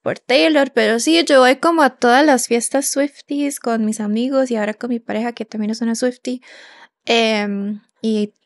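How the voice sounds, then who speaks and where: A young woman who grew up in Canada talks in a loose, chatty way, filling her pauses with soft ums and ready laughs. Her voice sits a bit higher than most women's. The sound is close and dry, with no room echo. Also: steady tone. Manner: chatty, with many fillers and quick laughs. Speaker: podcast co-host. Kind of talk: casual podcast chat.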